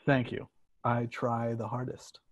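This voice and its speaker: A polite smart-home table played by a man speaks slowly and apologetically at a middling pitch. It is eager to serve, a little halting, and slips into sing-song, as in a play reading. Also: flat delivery, close to an everyday voice. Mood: apologetic. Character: polite, servile talking smart table that sings advice. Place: indoors at home, on a video call.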